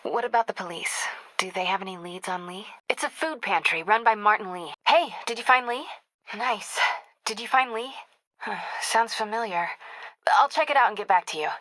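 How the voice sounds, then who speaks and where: An actress with an American accent playing a caring, determined young reporter in a video game, speaking in an earnest, conversational, confiding way. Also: female voice, mid-range voice, moderate pace, fairly bright tone, no room sound, natural voice. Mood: focused. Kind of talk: naturalistic video game acting.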